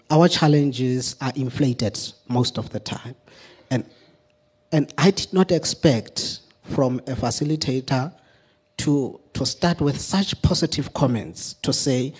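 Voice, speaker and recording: A man speaking off the cuff on a panel, earnest and deliberate, in stop-start phrases with repeated words. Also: mid-range voice, darkish tone, slightly rough. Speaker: community radio representative on a panel. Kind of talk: extemporaneous panel discussion remarks.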